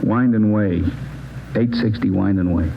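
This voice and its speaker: A man's voice: fairly low pitch, a little slow, creaky. A man playing a nervous captured gangster informing to police in a 1940s radio drama, with clipped answers. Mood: anxious. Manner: clipped, evasive answers, anxious pleading. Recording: muffled old radio recording, faint noise.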